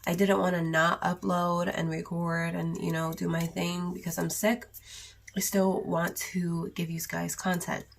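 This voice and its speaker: A young woman with a sore throat and cough, chatting casually in a raspy voice through a makeup haul vlog. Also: on the low side, flat delivery, unhurried. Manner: chatty, rambling, with many ums and likes. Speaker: beauty vlogger.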